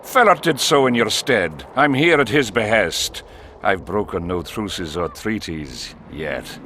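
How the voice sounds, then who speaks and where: A man's voice: on the low side, lively intonation, measured pace, sharp tone, slight background noise. An actor playing a domineering, scheming middle-aged warrior king in a video game, with a commanding, sardonic manner. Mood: imperious. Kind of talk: dramatic video game acting.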